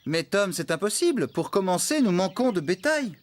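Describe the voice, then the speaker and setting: An actor playing an excitable, good-natured, dreamy farmhand man in a children's cartoon, earnest and theatrical. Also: high-pitched, normal speed.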